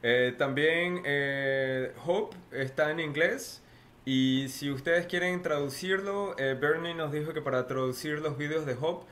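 Venezuelan man, halting casual podcast chat. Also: fairly high pitch, fairly bright tone, slight background noise. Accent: Venezuelan Spanish. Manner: halting, many fillers. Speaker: podcast co-host. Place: small home room, indoors.

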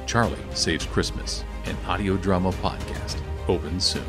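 A man's voice: low voice, normal speed, music in the background. A man reading scripted promo narration as a trailer announcer.

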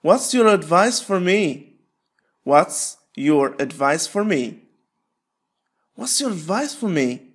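A man teaching English, repeating short phrases slowly and switching to quick explanations. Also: high voice, big pitch swings, breathy edge. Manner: repeats phrases slowly, stretching words apart; explains rapidly between drills. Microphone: voice-over.

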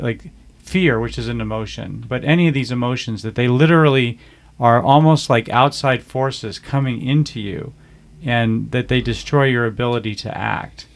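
A man co-hosting a casual podcast about a martial arts school, agreeable and earnest, building long explanations. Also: mid-range voice. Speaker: aikido school co-host.

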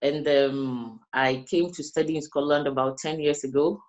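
A middle-aged woman from Ghana with a West African accent, explaining her research as an interviewee in measured phrases with pauses. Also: low-pitched, fairly even intonation, a little mellow. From Ghana, also grew up in the Gambia. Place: small indoor room, plain wall.

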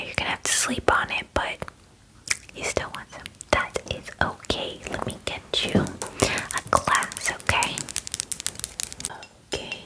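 Woman acting a slow whispering werewolf spa groomer. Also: rough recording. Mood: soothing, helpful. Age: 20s. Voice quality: breathy whisper. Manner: slow, soothing, repeats words softly, hesitant pauses. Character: gentle, attentive female werewolf spa groomer. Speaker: ASMR roleplay performer. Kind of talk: ASMR whispered roleplay. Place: small dim indoor room. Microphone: close microphone, facing camera.

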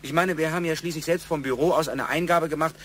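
An actor playing an evasive, uneasy employee and gentle father, hesitant and defensive, in a crime radio play. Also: a little high, steady tone, fast talker, slight background noise, natural voice. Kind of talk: German radio crime drama acting.

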